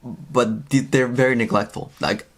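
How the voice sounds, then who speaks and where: A young man with a mid-pitched voice talks through his opinions casually, as a video host would. He is emphatic and openly incredulous, and he does not hold back his judgement. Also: fairly even intonation, a little slow.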